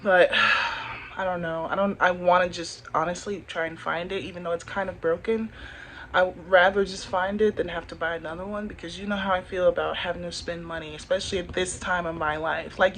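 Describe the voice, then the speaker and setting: A young woman with a deeper voice for a woman, chatting candidly into her phone camera with lots of fillers. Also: slight background noise. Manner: chatty, candid, many fillers like "like" and "you know". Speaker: vlogger. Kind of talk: casual talk to camera.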